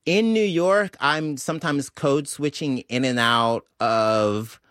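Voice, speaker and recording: A man's voice: mid-range voice, slow, a bit bright, dry recording, studio quality. A man with an American accent and a slight Southern drawl, chatting casually as a guest. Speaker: comedian guest. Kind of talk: casual interview chat.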